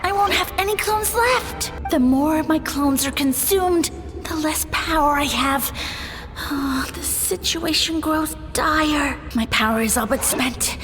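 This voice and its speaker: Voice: high-pitched, unhurried, music in the background. An actor playing a boastful, scheming mushroom god in a video game, with a pompous, theatrical delivery. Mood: aggrieved, desperate. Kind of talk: video game character acting.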